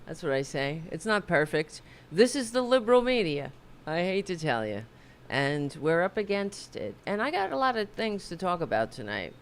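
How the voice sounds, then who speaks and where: A woman's voice: on the low side, sing-song, normal speed, clear, resonant tone. A woman hosting a casual talk livestream from her home office, a bit under the weather and losing her voice, rambling and self-deprecating. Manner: rambling, chatty, self-deprecating, swears and corrects herself. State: losing her voice, a little sick. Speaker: host of a political talk livestream.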